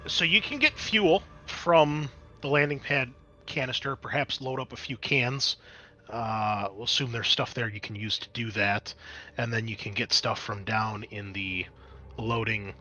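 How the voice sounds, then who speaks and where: Bright-voiced man running a tabletop game. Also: medium pitch, a little slow, highs cut off. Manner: explanatory, conversational. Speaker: game master. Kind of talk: narrating a tabletop roleplaying game, improvised.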